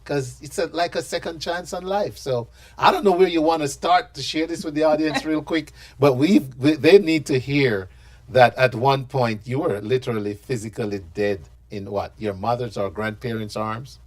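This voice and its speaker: A man hosting a live talk show, energetic and hyping up his guest and partner stations. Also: medium pitch, normal speed.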